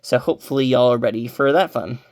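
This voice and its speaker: A man's voice: mid-range voice, fast, a little mellow, no echo, clean studio sound. A man hosting a casual video, talking loosely and chattily with fillers. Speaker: host.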